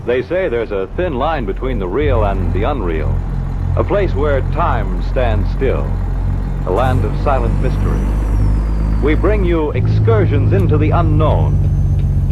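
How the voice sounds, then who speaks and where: A man with an American accent making scripted old-time radio program announcements in a dramatic, polished delivery. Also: mid-range voice. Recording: dull old radio broadcast, truck noise behind.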